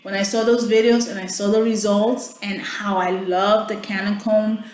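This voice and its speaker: A woman's voice: mid-range voice, little pitch movement, moderate pace, darkish tone. A woman whose first language is Spanish, talking casually to viewers about products she bought and often checking in with "okay?". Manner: chatty, keeps checking in with "okay?". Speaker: beauty vlogger. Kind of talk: casual product haul chat. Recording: echoey room, faint background hum.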